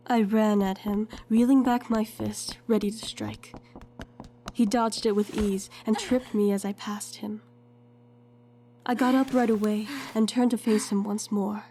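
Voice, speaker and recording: A woman's voice: fairly high pitch, mellow, a little hiss or hum. A woman playing a young gifted heroine in an audio drama, earnest and a little unsure, telling her story in the first person. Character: hesitant young gifted woman, apologetic, narrating her own fight.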